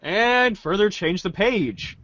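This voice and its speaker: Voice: mid-range voice, animated, slow pace, fairly bright tone, no echo, highs cut off. A podcast host doing a playful, theatrical group reading of a webcomic script. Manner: playful, theatrical page-change calls.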